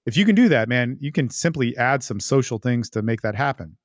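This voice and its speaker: A man's voice: medium pitch, expressive, fairly quick, no room sound, lo-fi sound. Blunt man coaching on a dry podcast. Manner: blunt, profane, emphatic. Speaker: podcast host and dating coach. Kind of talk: casual, unscripted advice monologue.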